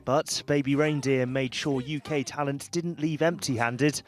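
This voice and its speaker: A male reporter reading a scripted entertainment news package in crisp, punchy phrases. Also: medium pitch, fairly even intonation, a little slow, faint music behind.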